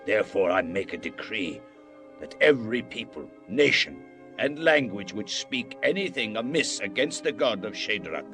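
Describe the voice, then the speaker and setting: Proud ancient king, low voice, grand and declamatory, scripture drama with music underneath. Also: sing-song, normal speed, pushed and bright, exaggerated voice. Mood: solemn decree. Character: proud, wrathful, commanding ancient Babylonian king. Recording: music bed behind the voice.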